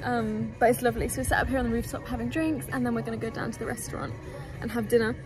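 A woman's voice: a little high, steady tone, very fast, rough recording. A young woman, a travel vlogger chatting upbeat to viewers from a camera held at arm's length, outdoors. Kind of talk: casual vlog narration to camera. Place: outdoors in a town and on a rooftop terrace. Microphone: handheld camera at arm's length. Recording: music playing under the voice.

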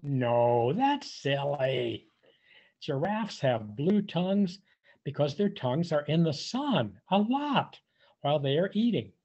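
Husky older man, very animated storybook reader. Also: on the high side, slow pace. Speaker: volunteer storybook reader for young children. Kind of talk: picture-book read-aloud for preschoolers. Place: indoors, on a video call. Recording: dull, muffled webcam audio.

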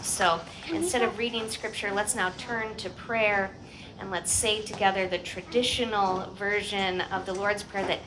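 A middle-aged woman pastor leading an outdoor worship service in an informal, conversational way. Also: mid-range voice. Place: outdoor church courtyard. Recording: outdoor PA with clear background noise.

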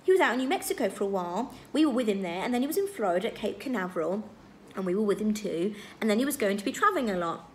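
A woman's voice: mid-range voice, very animated, very fast, a little hiss or hum, natural voice. A woman voicing an anguished, self-doubting young teenage girl in a storybook, with a trembling, emphatic delivery. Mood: earnest, defensive.

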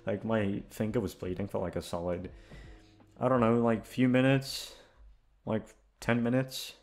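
A man's voice: on the low side, level tone, measured pace, darkish tone, faint music behind. A man who makes audio roleplays, chatting casually to his listeners in a rambling, self-deprecating, sweary way.

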